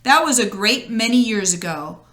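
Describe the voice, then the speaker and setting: A woman voicing a wise, gentle old toy horse in a storybook, patiently explaining. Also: fairly high pitch, expressive, normal speed.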